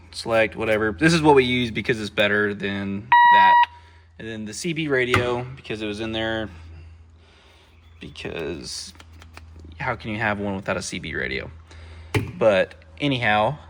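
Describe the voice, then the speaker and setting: A relaxed man rambles through a show-and-tell of his car, leaning on fillers and letting sentences trail off. He sits inside the vehicle and talks to a camera set up in front of him. His pitch sits in the ordinary middle range for a man. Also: a little hiss or hum. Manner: rambling and loose, heavy on fillers and trailing thoughts. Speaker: vlogger showing his car setup. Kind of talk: casual show-and-tell vlog. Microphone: camera mounted in the car.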